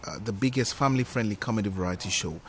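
A man with a Nigerian accent and Yoruba as his mother tongue, a comedian telling stories in an animated, emphatic way during a podcast interview. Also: fairly low pitch, fast talker, a little hiss or hum. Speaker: guest comedian.

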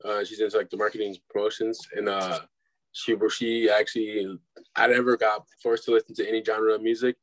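A man's voice: fairly low pitch, level tone, a little fast, rough recording. A man in his twenties from Milwaukee, a dubstep producer talking easily as an interview guest, with casual slang and loose laughter. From Milwaukee, Wisconsin, United States. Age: 20s. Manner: laid-back, says "bro", laughs between phrases.

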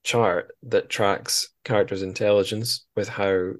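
A man's voice: lowish voice, steady tone, measured pace. A man hosting a podcast, interviewing a guest in a casual, conversational way.

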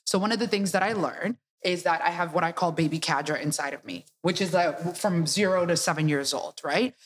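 A woman hosting a podcast, telling personal stories in a lively, casual way and sometimes slipping into a tough street voice. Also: fairly low pitch, brisk, breathy edge. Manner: animated storyteller, slips into a tough street voice when quoting. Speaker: podcast host. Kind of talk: casual conversation.

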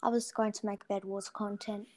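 Young woman gamer, guest, halting, lots of ums; cheap mic sound. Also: medium pitch, little pitch movement, darkish tone. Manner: hesitant, many ums and trailing sentences. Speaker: interview guest, gaming YouTuber. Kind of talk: casual chat. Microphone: cheap built-in mic.

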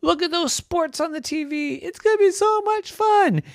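A storyteller voicing a group of excited, bossy bowling pins who cheer and tease. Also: extremely high pitch, normal speed, dry recording, studio quality, pitched above the performer's own voice. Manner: boisterous, cheering, teasing. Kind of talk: storybook voice acting.

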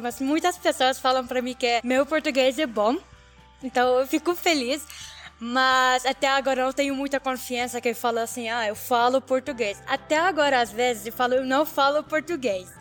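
A woman's voice: high-pitched, fairly bright tone, soft background music. A young woman from Syria with an Arabic accent, a non-native speaker answering casually in an interview and often searching for words. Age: young adult. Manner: halting, searching for words in second-language speech. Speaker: interviewee, international relations student.

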